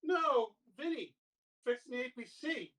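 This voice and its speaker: A woman's voice: high-pitched, expressive, unhurried, a bit bright. A woman playing in an online tabletop game, chatting casually in a blunt, informal way. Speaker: player.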